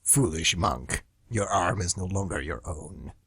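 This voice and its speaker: Man voicing a slow, smug demon flamingo villain, husky. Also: deep voice, a bit bright, no echo, clean studio sound, heavily acted. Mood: menacing. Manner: slow, taunting, drawn-out delivery. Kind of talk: amateur radio theatre.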